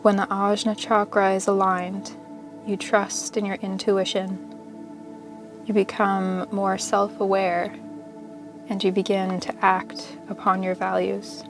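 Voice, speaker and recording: A woman leading a guided meditation in a soothing, measured, unhurried manner, pausing between short phrases of instruction. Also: medium pitch, monotone. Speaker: yoga nidra meditation guide. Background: meditation music.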